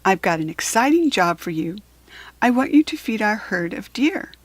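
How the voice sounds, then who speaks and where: Woman reading as friendly lady ranger, storybook, American accent, cheerful, explanatory, medium pitch. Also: big pitch swings, moderate pace, dry recording. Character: friendly woman head ranger of a nature reserve.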